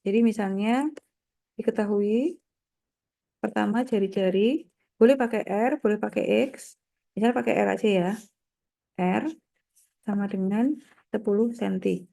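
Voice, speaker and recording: A woman's voice: mid-range voice, a little slow, creaky, pauses often, mellow. A woman with a nasal voice from a slight cold, explaining step by step as a lecturer and often checking in with short tags. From Indonesia. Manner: didactic, with frequent check-in tags. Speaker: university mathematics lecturer.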